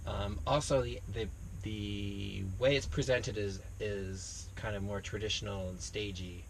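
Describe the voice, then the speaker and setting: A man's voice: fairly low pitch, fairly even intonation, unhurried, rough recording. A man co-hosting a casual book chat, talking in a wry, hesitant way as he thinks aloud. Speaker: library podcast co-host. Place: parked library bookmobile. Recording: clear background noise inside vehicle.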